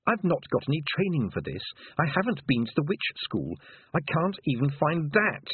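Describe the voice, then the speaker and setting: An earnest, uncertain young witch-to-be performed by a male narrator speaks in a raised, plaintive voice. The tone is slightly husky, as in a storybook reading. The sound is narrow and phone-like. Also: on the high side, huge pitch swings, moderate pace, no echo, pitched above the performer's own voice, heavily acted. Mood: worried. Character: earnest, unsure young girl, a would-be witch.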